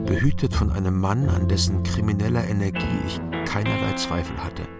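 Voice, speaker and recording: A self-deprecating investigator from East Germany in a radio crime play. His voice is fairly low with a gravelly fry. He speaks deliberately and with dry irony, music running behind him. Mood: sombre narration. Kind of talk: radio crime drama dialogue and narration.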